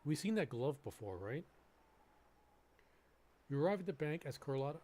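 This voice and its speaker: A man's voice: a little high, sing-song, dark tone. Male game streamer, faint music behind. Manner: reads aloud haltingly, breaks off into asides. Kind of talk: live stream commentary, reading game text aloud.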